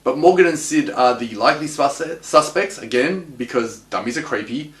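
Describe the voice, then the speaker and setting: A man delivering recap and analysis commentary as a host, with wry, clipped deadpan quips. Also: medium pitch, moderate pace. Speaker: host of a TV episode analysis show. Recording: roomy, echoing voice recording.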